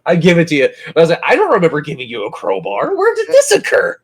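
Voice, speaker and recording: A man's voice: high voice, huge pitch swings, fast, creaky, breathy edge. A man acting as game master, narrating and bantering in a theatrical, courteous way.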